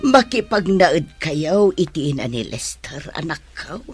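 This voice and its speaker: A woman playing a gentle, anxious older village mother in an Ilocano-accented radio drama, speaking plaintively. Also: low-pitched, sing-song, a little slow, husky, faint music behind, heavily acted. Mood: tender worry.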